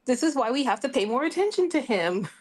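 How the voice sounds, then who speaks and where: A woman's voice: high-pitched, big pitch swings, fast. A woman playing a tabletop game, chatting casually and expressively, with little acted-out asides. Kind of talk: casual, reactive game chat.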